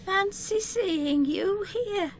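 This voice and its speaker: A woman's voice: very high-pitched, drawn-out words, a little hiss or hum, full character voice. An actress playing a vague, spaced-out woman in her seventies, wistful and deluded, in an audio drama. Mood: dreamy, proud.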